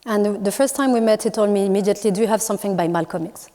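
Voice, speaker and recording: A woman from Morocco whose first language is Moroccan Arabic, giving a thoughtful public talk as an artist and pausing often with fillers. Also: mid-range voice, warm tone. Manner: thoughtful, hesitant with many fillers and restarts. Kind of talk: public talk at a university event. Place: lecture hall. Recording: long hall reverb.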